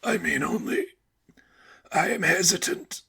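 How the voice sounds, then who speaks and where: High, breathy, feverishly devout young man. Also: animated, bright, forceful tone, exaggerated voice. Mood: meek, pleading. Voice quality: breathy, strained whisper. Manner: halting, drawn-out, supplicating.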